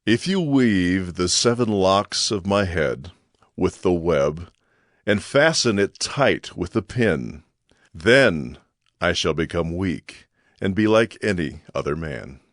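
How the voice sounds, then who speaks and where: A man's voice: deep voice, animated, takes it slowly, no echo, clean studio sound, heavily acted. An actor playing a mighty, brooding Israelite strongman in a solemn scripture drama. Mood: grave, secretive.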